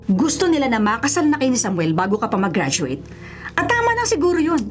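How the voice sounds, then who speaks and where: Casting: imperious middle-aged Filipina society mother, high voice, dramatic swings, scolding, radio drama. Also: wildly swooping pitch, brisk. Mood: stern. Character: stern, snobbish middle-aged rich mother. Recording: music bed under the voice.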